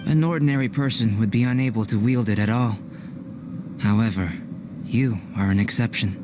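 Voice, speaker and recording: An actor playing a cerebral, politely enigmatic young alchemist in a video game, speaking in a measured, formal way. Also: male voice, fairly low pitch, close to an everyday voice. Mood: measured, instructive. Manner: measured, formal, precise, unflappable. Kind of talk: video game cutscene acting. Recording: clear background noise.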